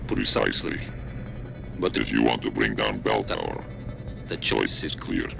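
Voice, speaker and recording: A shadowy game hacker. He is cool and calculating, laying things out in measured, cryptic, blunt phrases over prominent music. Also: big pitch swings, full character voice. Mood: grave, knowing. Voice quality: very deep, gravelly. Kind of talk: video game dramatic acting. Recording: music behind the voice.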